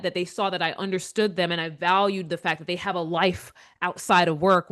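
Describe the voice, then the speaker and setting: A woman's voice: on the low side, fairly bright tone. A woman, a leadership coach, talking earnestly as a podcast guest and often restarting her sentences. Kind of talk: casual interview chat.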